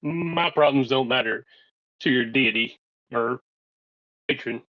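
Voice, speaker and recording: Guy rpg player, mid pitch, casual roleplay, muffled crummy audio. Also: measured pace. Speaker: tabletop game player. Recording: dull, lo-fi audio from a car.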